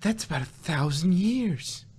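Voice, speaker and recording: A man's voice: high-pitched, animated, unhurried, a little mellow, husky, heavily acted. A man voicing an eager young female pony princess who asks questions in astonishment, in an amateur fan-fiction reading. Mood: astonished. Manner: incredulous, questioning.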